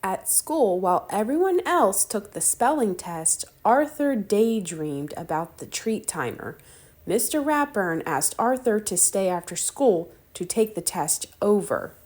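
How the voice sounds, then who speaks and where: A woman's voice: medium pitch, very animated, measured pace, a little mellow. A woman narrating a children's picture book aloud. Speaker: storybook reader.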